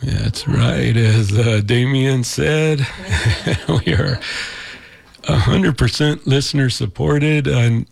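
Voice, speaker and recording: A man hosting a community radio music show, his voice raspy from allergies, talking in an easygoing, rambling way. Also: mid-range voice, slow pace, a little mellow. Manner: easygoing, rambling, chuckles often. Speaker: radio DJ host. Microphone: studio mic.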